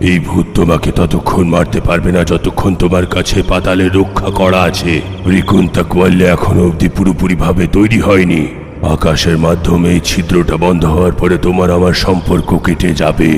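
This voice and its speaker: A man's voice: low voice, flat delivery, a little fast, darkish tone. A man playing a grave, all-knowing underworld guardian in a dramatic cartoon dub, speaking in solemn, foreboding instruction. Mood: solemn, warning. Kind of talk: dramatic dubbed cartoon dialogue. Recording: long hall reverb, faint noise.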